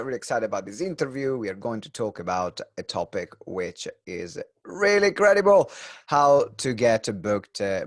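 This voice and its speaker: A man's voice: on the low side, no room sound, clean studio sound. A man hosting a business podcast interview, upbeat but hesitant, with many restarts. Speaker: podcast host.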